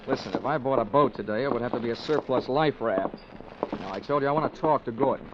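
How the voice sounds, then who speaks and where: An actor playing a cynical, hard-boiled American investigator, narrating and talking with dry, breezy sarcasm in 1940s radio style. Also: male voice, mid-range voice, quick pace, heavy background noise, close to an everyday voice. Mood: brisk, wry. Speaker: lead and first-person narrator. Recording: dull vintage radio recording, music behind.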